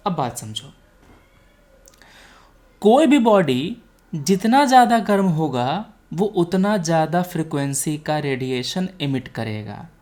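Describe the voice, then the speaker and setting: A woman's voice: deep voice, sing-song, slow, a little mellow. A woman with an Indian accent giving an explanatory lecture as a teacher, repeating key points and checking for understanding. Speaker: teacher, exam coaching instructor.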